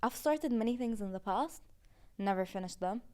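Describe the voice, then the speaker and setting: A woman in her twenties, a podcast host, talking casually and warmly about finishing a season, with frequent "like"s. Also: fairly high pitch, animated, moderate pace, clear, resonant tone. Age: 20s. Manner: casual, chatty with many "like"s and laughs. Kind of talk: heartfelt season-finale reflection.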